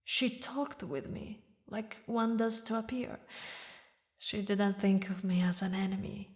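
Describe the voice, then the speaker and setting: A woman voicing a haughty, mocking demon queen who mourns a lost lover, speaking in slow, theatrical, regal phrases for an audio roleplay. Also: medium pitch, natural voice. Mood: grieving, bitter. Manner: slow, taunting, regal, with dramatic pauses. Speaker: villainous ruler addressing a knight.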